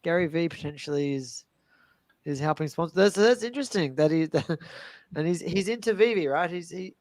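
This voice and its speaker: A man's voice: fairly high pitch, expressive, normal speed, a little mellow, no room sound, studio quality. A man on a casual podcast panel with an easygoing, chatty manner who steers the conversation to new topics.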